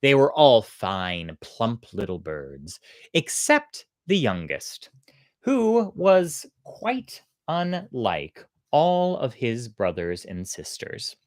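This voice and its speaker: A storytelling show host explaining and narrating in a conversational, expressive, thoughtful manner. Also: mid-range voice, slow pace, no echo, clean studio sound.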